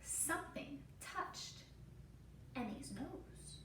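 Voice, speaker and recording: High-voiced woman reading a storybook aloud. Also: animated. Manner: dramatic, deliberate phrasing. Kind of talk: expressive children's storybook read-aloud. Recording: roomy, distant mic, faint noise.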